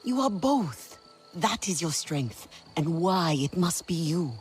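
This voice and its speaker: A woman's voice: lowish voice, expressive, takes it slowly, faint music behind. An actress playing a determined female alien clan leader in a video game cutscene, speaking in a resolute, encouraging way.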